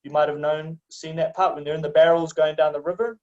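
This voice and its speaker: A young man from New Zealand with a New Zealand accent, chatting casually as an interview guest, relaxed and quick to laugh, with plenty of ums. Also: on the high side. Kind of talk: casual conversation. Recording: dull lo-fi audio.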